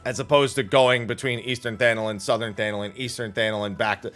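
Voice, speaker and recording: A man's voice: medium pitch, fairly even intonation, fast talker, fairly bright tone, faint music behind. A man giving casual, rambling livestream commentary as he plays a game, thinking aloud. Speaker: livestream gamer and host.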